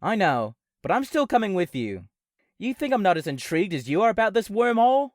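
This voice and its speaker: A woman's voice: mid-range voice, big pitch swings, fairly quick, no echo, clean studio sound, lightly acted. A woman playing a casual, protective robot best friend in an audio drama, laid-back and teasing. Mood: casual, insistent.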